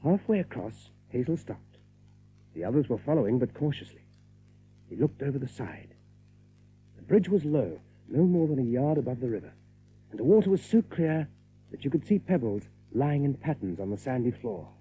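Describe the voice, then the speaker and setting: A man's voice: mid-range voice, very animated, moderate pace, slightly rough. A man reading scripted story narration as the narrator of an audiobook drama. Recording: narrow, phone-like audiobook recording.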